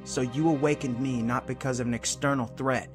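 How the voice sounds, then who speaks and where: An actor playing a brooding, dutiful male angel in a sci-fi visual novel, speaking in a measured, introspective way. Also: medium pitch, fairly even intonation, over music, natural voice. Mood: solemn. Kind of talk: scripted visual novel voice acting and narration.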